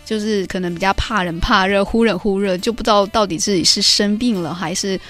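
A woman's voice: mid-range voice, fairly quick, a bit bright, soft background music. A woman with a Taiwanese Mandarin accent co-hosting a radio show in a chatty way, speaking conversationally to listeners with a congested, slightly nasal voice. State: congested with a runny nose. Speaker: radio co-host.

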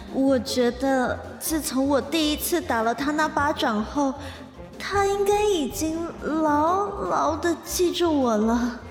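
Casting: high-pitched young female office worker, lovestruck and easily flustered, hesitant, echoing room with music behind. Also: slow pace. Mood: wry. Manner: hesitant, full of little interjections. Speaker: voice actor in radio drama. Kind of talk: modern urban romantic comedy radio drama acting. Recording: roomy reverb, music behind.

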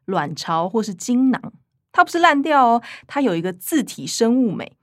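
An actress playing an earnest, fussy young younger sister in a radio drama, with a Taiwanese Mandarin accent. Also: female voice, on the high side, very animated, moderate pace, no room sound, studio quality. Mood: earnest explaining.

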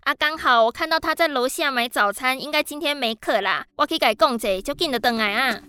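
An actress playing a nosy, chatty middle-aged neighbor who sits on the building committee, talking with a Taiwanese Mandarin accent and lots of drawn-out fillers. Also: female voice, sits high, bright, forceful tone, smooth, dry recording. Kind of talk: radio drama acting.

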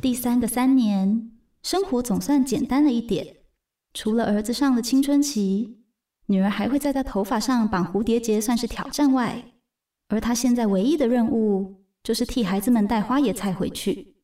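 A woman's voice: a little high, normal speed, dark tone, far from the mic, lightly acted. A woman narrating a radio drama as a wry storyteller, in a scripted storybook style. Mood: wry, composed.

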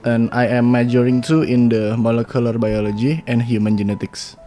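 A man's voice: medium pitch, flat delivery, brisk, dark tone, faint music behind. A young man with an Indonesian accent, a student guest in a studio interview. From Jakarta area, Indonesia. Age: young adult.

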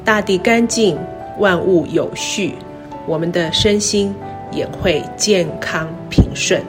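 A middle-aged woman with a Taiwanese Mandarin accent gives a scripted, reflective talk in measured, careful phrases. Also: on the low side. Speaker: university president. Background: background music.

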